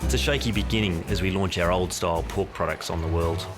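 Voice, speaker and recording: A man narrating a documentary in a measured, wry storytelling manner. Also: low-pitched. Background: music bed.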